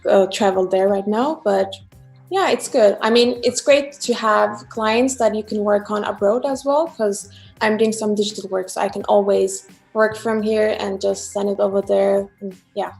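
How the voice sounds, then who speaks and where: A woman's voice: medium pitch, steady tone, moderate pace, creaky, darkish tone, soft background music. A woman from Turkey with a Turkish accent, chatting casually as a guest artist about her own work on a live stream. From Turkey, Istanbul. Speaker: guest illustrator and digital artist. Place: at home, remote video call.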